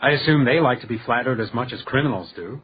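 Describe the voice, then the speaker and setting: An actor playing a dry, guarded 1920s hotel detective with a deadpan delivery in a radio drama. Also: male voice, mid-range voice, quick pace, lo-fi sound, close to an everyday voice. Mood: firm, dry. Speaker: lead character and first-person narrator.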